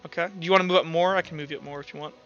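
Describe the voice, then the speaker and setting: A man's voice: a little high, fairly even intonation, racing, gravelly, a bit bright, dull audio, faint music behind. A man acting as game master, narrating a tabletop roleplaying game in a casual, slangy way.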